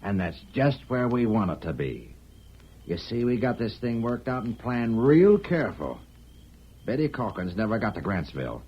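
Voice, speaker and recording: A man's voice: fairly low pitch, big pitch swings, normal speed, breathy edge. A man acting a menacing, bullying outlaw with folksy frontier grammar in an old radio western. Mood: commanding. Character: menacing male western outlaw, coolly threatening. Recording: muffled old radio drama recording.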